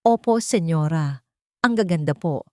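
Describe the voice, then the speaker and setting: A woman's voice: sits low, expressive, measured pace, no echo, studio quality. A woman voicing a loyal, humble female housemaid who speaks deferentially in an audio drama. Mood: respectful. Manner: respectful, deferential.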